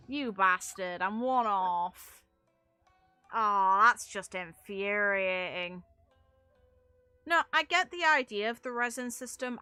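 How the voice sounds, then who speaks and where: A woman delivers casual gaming commentary in a bright, forceful voice. She rambles and rants with blunt exasperation and frequent swearing. Her pitch sits at an ordinary middle level for a woman. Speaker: game streamer.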